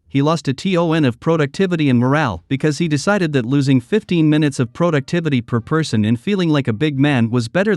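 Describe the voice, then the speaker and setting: A man narrating reddit stories in a steady, scripted storytelling read. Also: fairly high pitch, dry recording. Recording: dry close sound with soft music behind.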